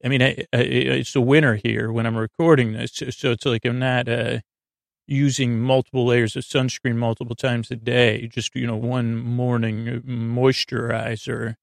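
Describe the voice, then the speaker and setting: A man hosting a sleep podcast, rambling in a meandering, stammering monologue with frequent digressions and a gravelly, creaky voice. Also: mid-range voice, level tone, normal speed, dry recording, studio quality. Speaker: podcast host.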